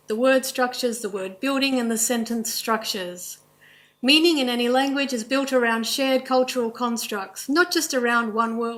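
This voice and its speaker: A woman with an Australian accent giving a formal, measured presentation in a courtroom, speaking in short listed phrases. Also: on the high side, a bit bright, low-quality recording. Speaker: language presenter at a court inquest.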